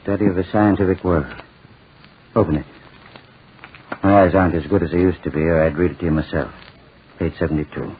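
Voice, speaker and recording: A man's voice: deep voice, steady tone, fairly quick, pauses often, lightly acted. An actor playing a gnarled, testy old man who lives alone, in a 1940s radio drama. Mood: insistent. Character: gnarled, lonely old man, testy and fidget-scolding host. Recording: noisy, tinny 1940s radio recording.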